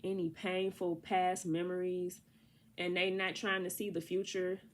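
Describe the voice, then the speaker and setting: A woman's voice: lowish voice, little pitch movement, unhurried, a little hiss or hum. A woman giving a casual tarot reading. She sounds congested from allergies and talks to the viewer in a chatty, slangy way. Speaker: tarot reader.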